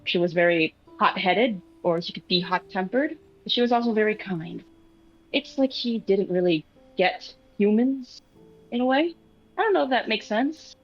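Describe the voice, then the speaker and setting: A woman voicing a hesitant, awkward amnesiac creature in a tabletop roleplay, speaking haltingly. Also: mid-range voice. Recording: muffled lo-fi mic, music behind.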